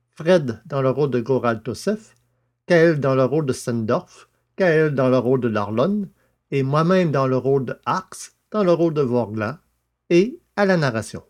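Steady male audiobook narrator. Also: medium pitch. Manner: even, measured storytelling delivery. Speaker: narrator and producer of an audio drama podcast.

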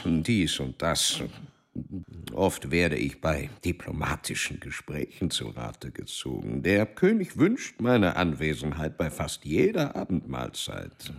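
An actor in a German radio play, playing an elderly, diplomatic court chamberlain with a measured, placating manner and a creaky voice. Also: male voice, very deep voice, fairly bright tone, full character voice. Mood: genial, placating.

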